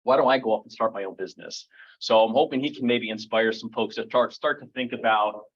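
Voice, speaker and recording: A man's voice: lowish voice, brisk. A man filling in as emcee, casually introducing the evening's speaker with many fillers. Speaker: stand-in emcee. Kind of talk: event introduction. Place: meeting room. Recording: dull lo-fi room recording.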